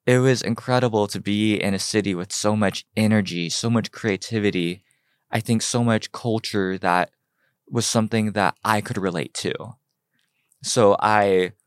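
A young American man speaks in a low, smooth, resonant voice. He tells his story in an earnest, measured way. The sound is dry and close. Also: steady tone, no room sound. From United States (Ohio). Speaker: guest, fashion designer. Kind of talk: podcast interview.

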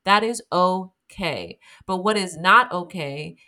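A woman's voice: fairly low pitch, expressive, takes it slowly, sharp tone. A woman guest talking in a candid, emphatic way in a casual interview, swearing freely.

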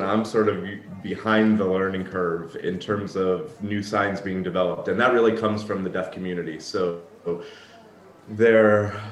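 A man who works as a sign language interpreter, answering interview questions in a thoughtful, reflective way with frequent restarts. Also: low voice. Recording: echoey room.

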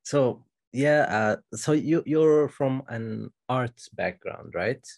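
A man's voice: medium pitch, slow pace, creaky, darkish tone. A man hosting a podcast interview, asking questions hesitantly with many uhs and restarts. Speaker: podcast host. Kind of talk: casual interview chat.

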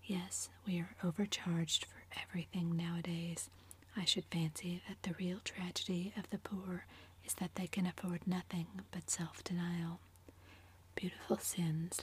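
A woman whispering as a languid witty gentleman. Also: on the low side, flat delivery, a little slow, slight background noise, close to an everyday voice. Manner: whispered, epigrammatic, drawling. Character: languid witty aristocratic older English gentleman.

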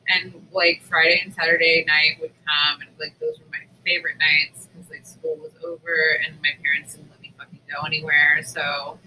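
A man's voice: a little high, flat delivery, moderate pace, few pauses, sharp tone, a little hiss or hum, rough recording. A man co-hosting a podcast in casual chat, with a self-deprecating, joking manner and easy swearing. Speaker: podcast co-host.

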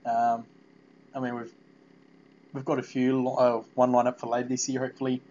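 A man hosting a casual interview about video games, talking in an informal, halting, rambling way. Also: medium pitch, completely flat delivery, a little mellow. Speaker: podcast interviewer. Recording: muffled, noisy lo-fi call audio.

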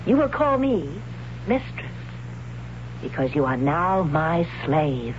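A woman's voice: deep voice, big pitch swings, slow, exaggerated voice. An actress playing a domineering woman who takes a young man as her slave, speaking in a cold, commanding way in a 1970s radio drama. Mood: imperious. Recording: dull old radio broadcast with faint hum.

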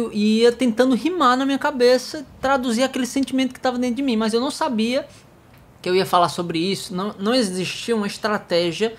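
A man with a nasal voice and a Brazilian Portuguese accent, a worship songwriter talking casually and reflectively about how he writes songs. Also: high-pitched. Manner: reflective, storytelling with quoted dialogue. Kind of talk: casual chat.

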